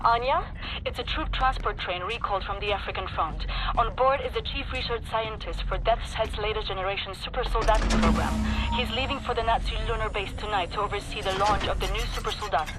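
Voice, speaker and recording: A woman playing a commanding, resolute resistance leader in a video game, grave and deliberate. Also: medium pitch, music in the background, lightly acted, low-quality recording. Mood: urgent, commanding. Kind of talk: video game cutscene acting.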